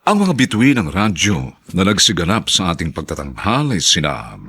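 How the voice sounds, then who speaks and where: A man with a Filipino accent narrating a radio drama in a solemn, theatrical, deliberate scripted style. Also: deep voice, very animated, a bit bright, husky.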